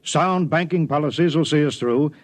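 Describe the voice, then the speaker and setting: An American actor playing an eager, fussy bank clerk who repeats himself reassuringly in a vintage radio drama. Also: male voice, a little high, moderate pace, natural voice. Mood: smugly optimistic. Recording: dull vintage radio recording.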